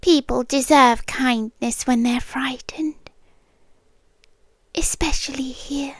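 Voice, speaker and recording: A British woman playing an eerily calm, soothing doctor with a hidden possessive streak, in a soft, breathy, whispered ASMR roleplay, close to the mic. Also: high-pitched, takes it slowly, no echo, heavily acted. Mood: soothing, clinical. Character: softly menacing, falsely soothing female hospital doctor, possessive girlfriend. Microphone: close, dry ASMR microphone.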